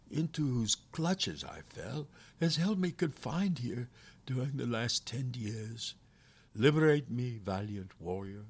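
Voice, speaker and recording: A man's voice: on the low side, slightly rough, pitched above the performer's own voice, full character voice. A man voicing a tiny shriveled demon from a storybook, pompous, desperate and spiteful, with grandiose theatrical pleading and cursing. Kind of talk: storybook character voice.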